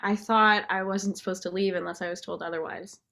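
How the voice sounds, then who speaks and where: A woman's voice: mid-range voice, fairly even intonation, a little fast, vocal fry, bright, forceful tone. A young woman playing a meek, unsure nineteen-year-old cashier, hesitant and apologetic, in an audio drama. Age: young adult.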